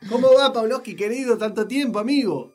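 Chatty Argentine podcast host. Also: male voice, extremely high pitch, fairly quick, darkish tone. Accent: Argentine Spanish. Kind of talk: casual podcast chat.

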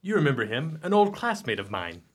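Radio play role: a man as a brusque, old-fashioned doctor, medium-pitched voice. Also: sing-song, brisk. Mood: brusque, evasive. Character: brusque doctor brother in an old ghost story.

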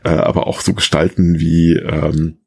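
Guy, really deep husky voice, podcast expert explaining stuff, stops and starts hunting for words. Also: flat delivery. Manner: halting, searching for words, hesitant phrasing. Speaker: expert guest, archaeologist. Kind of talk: conversational explanation in a podcast interview.

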